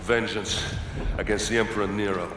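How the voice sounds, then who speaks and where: A male actor playing a vengeful Roman general, grave, deliberate and menacing, in a dramatic game monologue. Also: on the low side, fairly even intonation, natural voice. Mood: bitter anger. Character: vengeful Roman general, brooding, relentless avenger. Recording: echoey hall with clear background noise.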